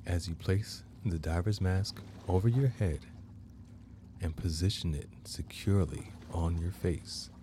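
A man hosting a guided meditation, narrating in measured, deliberate phrases with long pauses. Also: deep voice, noisy background. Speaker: guided meditation podcast host.

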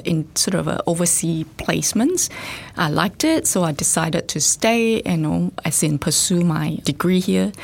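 Gravelly low-voiced woman from Singapore. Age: middle-aged. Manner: thoughtful, many fillers and restarts. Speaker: guest scientist, facility director. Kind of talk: casual interview chat.

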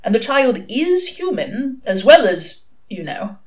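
A woman voicing a prim, earnest and gentle male angel in a storybook reading, hesitant and over-polite. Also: a little high, very animated, slow, voice pushed up. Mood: thoughtful.

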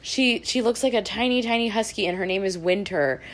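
A woman's voice: medium pitch, fairly quick, a little hiss or hum. A woman co-hosting a podcast, telling personal stories in a casual, chatty way. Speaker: podcast co-host. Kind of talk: casual chat, storytelling.

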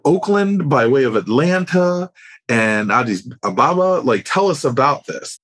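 A man's voice: mid-range voice, expressive, measured pace, a bit bright. A man hosting a podcast, interviewing in an informal, encouraging, conversational manner. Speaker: podcast host.

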